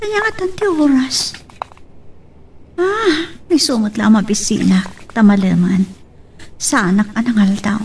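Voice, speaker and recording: A high-pitched young woman in love, speaking with an Ilocano accent in a radio romance. The recording has a faint layer of noise behind her. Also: animated, a little slow, pauses often, darkish tone. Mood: musing. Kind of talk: Ilocano radio drama acting.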